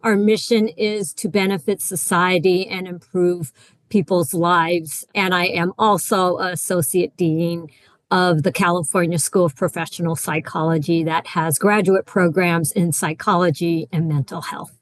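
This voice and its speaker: A woman psychologist giving a brisk, formal self-introduction on a virtual panel. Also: on the low side, fairly even intonation, no room sound. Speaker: psychologist, association president.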